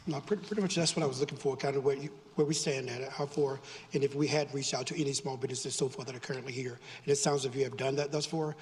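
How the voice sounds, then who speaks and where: A man's voice: mid-range voice, fairly even intonation, fast talker, hardly any pauses. A man on a town board asking for staff input, informal and repeating himself. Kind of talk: council meeting remarks. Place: town council chamber. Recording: reverberant council chamber, faint noise.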